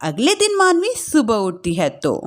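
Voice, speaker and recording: A woman's voice: fairly high pitch, huge pitch swings, moderate pace. A woman storyteller giving scripted narration of a family moral tale.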